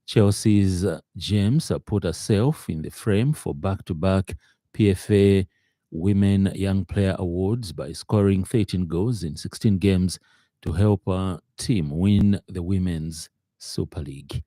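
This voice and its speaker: Male radio news presenter, dark low tone, hesitant scripted delivery. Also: no echo, studio quality. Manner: halting, hesitant reading. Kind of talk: scripted news bulletin reading.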